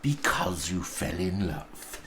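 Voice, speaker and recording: A man's voice: low-pitched, very animated, takes it slowly, fairly bright tone, a little hiss or hum, heavily acted. A man playing a curt, scornful old miser in a storybook reading, growling and dismissive. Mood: scornful, irritated.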